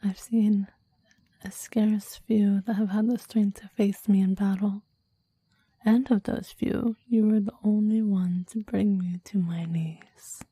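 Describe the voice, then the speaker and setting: A woman playing a clingy, deadly demon devoted to a master, in a breathy ASMR roleplay whisper with formal, old-fashioned phrasing. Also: medium pitch, steady tone, slow pace, mellow, no room sound. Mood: reverent, menacing. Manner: formal, archaic, reverent phrasing.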